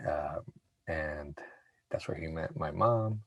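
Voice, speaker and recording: Very deep, creaky-voiced man. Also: takes it slowly, dry recording, muffled recording. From Puerto Rico. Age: 40s. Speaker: podcast guest. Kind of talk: casual conversational storytelling.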